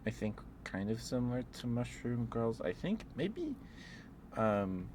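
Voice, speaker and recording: A man's voice: medium pitch, sing-song, a little slow, a little mellow, a little hiss or hum. A man doing a casual ASMR ramble as a livestreamer, playfully musing aloud and letting thoughts trail off.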